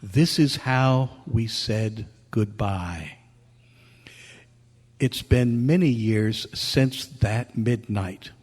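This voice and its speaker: A grieving grown son, played by a male actor, tells his story of farewell with slow, solemn care. His phrasing is deliberate and wistful, in the manner of a radio drama. A long hall reverb trails the voice. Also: lowish voice, a little mellow, husky, heavily acted. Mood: tender, resolved. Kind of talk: scripted dramatic narration in old-time radio style.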